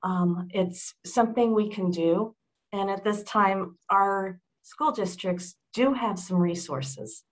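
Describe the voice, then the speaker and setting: A woman hosts a webinar and wraps it up in a measured, thankful way. Her voice sits at an ordinary middle pitch. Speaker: webinar host. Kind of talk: closing remarks.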